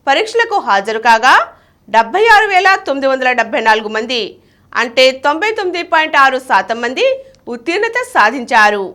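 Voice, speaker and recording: A woman reading a scripted news bulletin in a formal, measured announcing manner. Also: high-pitched, fairly bright tone. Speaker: news reader.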